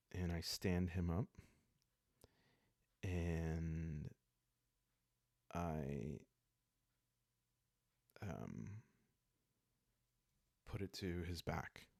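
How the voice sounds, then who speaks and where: A man calmly narrating an improvised solo roleplay story in a soft-spoken, halting way, as if for sleep. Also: sits low, gravelly, no room sound, studio quality.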